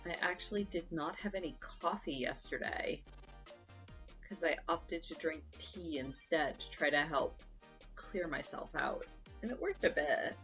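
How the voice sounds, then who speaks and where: Low-voiced congested woman chatting. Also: expressive, measured pace, a bit bright, faint music behind. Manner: chatty, rambling with fillers. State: congested and gravelly. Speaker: streamer. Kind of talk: casual chat while sewing.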